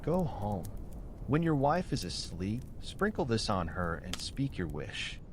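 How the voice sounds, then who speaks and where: A butcher's young daughter with magical insight , voiced by a man. She speaks firmly and formally in storybook style, with lively pitch over faint rumbling noise. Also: unhurried. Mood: commanding. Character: butcher's young daughter, shrewd, commanding enchantress.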